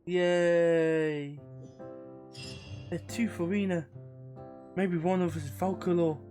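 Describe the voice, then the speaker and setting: Low-voiced woman reacting to a game. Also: fairly even intonation, slow, mellow, soft background music. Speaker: game streamer. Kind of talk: casual live gameplay commentary.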